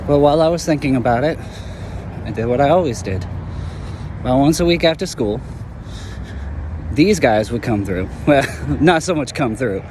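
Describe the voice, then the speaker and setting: A young man voicing an earnest former hero in an audio drama, talking in a reflective, halting way. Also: mid-range voice, expressive, noisy background, natural voice.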